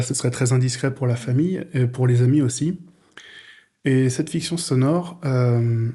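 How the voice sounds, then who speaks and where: Casting: male audio fiction creator as guest, soft mellow timbre, mid pitch, casual, searching delivery. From France. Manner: halting, repeats words while searching for phrasing. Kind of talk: casual interview talk.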